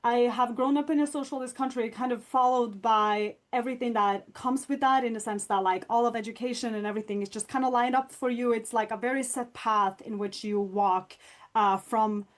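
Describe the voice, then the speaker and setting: A woman's voice: on the high side, fairly even intonation, fairly quick. A young woman from Norway, hosting a podcast in an open, chatty way with frequent fillers. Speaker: podcast host. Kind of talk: casual podcast conversation.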